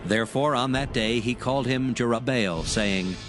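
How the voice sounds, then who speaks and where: A man narrating scripture from a script in a solemn, measured storytelling manner. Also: medium pitch.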